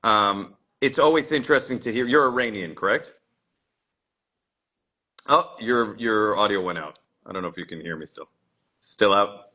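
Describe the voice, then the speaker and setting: A man's voice: lowish voice, normal speed, pauses often, sharp tone. A man hosting a casual livestream interview, chatty and full of fillers, often repeating his words. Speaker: livestream host.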